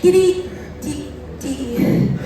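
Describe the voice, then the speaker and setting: Stage noblewoman played by a woman: high, slow, theatrical, lovestruck and commanding. Distant, echoing theatre sound. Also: mellow. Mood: anxious longing. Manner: dramatic, drawn-out, declamatory delivery. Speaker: student stage actor. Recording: echoey theatre from far off, music.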